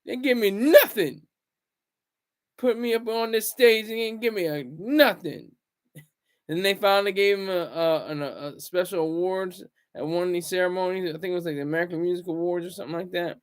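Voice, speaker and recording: A man hosting a casual solo commentary vlog, rambling and restarting his words, swinging between loud bursts and low asides. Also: normal speed, clear, resonant tone. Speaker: commentary channel host.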